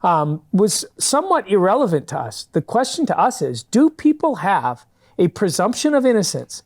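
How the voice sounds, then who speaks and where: A man's voice: high voice, very animated, moderate pace. Earnest man explaining steadily. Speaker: guest, fundraising company founder. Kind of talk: studio interview answers. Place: public TV studio.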